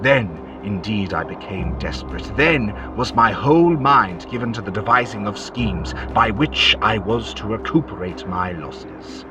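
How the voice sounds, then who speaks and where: A man's voice: lowish voice, lively intonation, measured pace, hardly any pauses, music in the background. Man acting a sinister, theatrical ghostly gambler. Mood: brooding, obsessed. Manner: theatrical, archaic formal phrasing. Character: sinister ghostly gambler, eloquent, obsessed, coaxing stranger. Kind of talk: audio drama acting.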